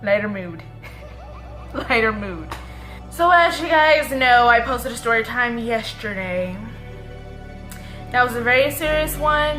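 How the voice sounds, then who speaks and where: A woman in her twenties from the Dallas suburbs with a suburban Texas accent, chatting in a rambling, self-correcting way as she tells a story to camera. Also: a little high. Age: 20s. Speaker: vlogger.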